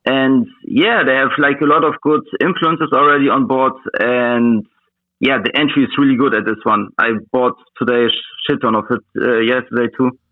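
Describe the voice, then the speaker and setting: A man whose first language is Russian, chatting casually as an interview guest, halting and searching for words in English. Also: medium pitch, steady tone, no room sound. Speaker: interview guest, meme coin trader. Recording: thin phone-like voice-call audio.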